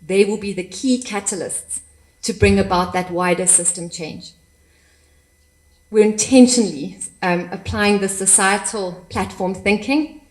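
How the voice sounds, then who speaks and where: A woman's voice: medium pitch, a little slow, low-quality recording. African woman at a conference mic, fired up, trips over words now and then. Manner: impassioned, repeats words when searching. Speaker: panel speaker. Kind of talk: conference talk.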